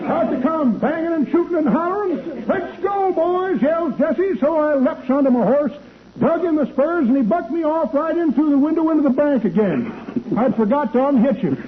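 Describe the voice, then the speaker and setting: Chipper rambling old-timer, folksy tall-tale teller, swooping delivery, tinny vintage radio comedy sound. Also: male voice, very high-pitched, animated, moderate pace, noisy background. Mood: cheerful, boastful. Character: cheerful old codger spinning tall tales. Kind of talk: 1940s-50s radio comedy acting.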